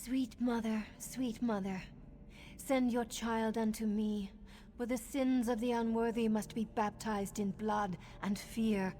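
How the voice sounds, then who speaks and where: An actress voicing a flirtatious, wicked noblewoman assassin in a video game, with a teasing, breathy manner. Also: on the high side, steady tone, slow, mellow. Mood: solemn, reverent. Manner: teasing, coquettish, breathy asides. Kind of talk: video game character acting. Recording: background music under the voice.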